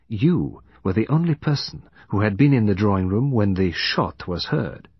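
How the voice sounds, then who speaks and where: A man voicing a shrewd, gentle detective who explains a crime in a measured, courteous way, in an audiobook. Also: lowish voice, animated, husky, no room sound, muffled recording. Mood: methodical explaining.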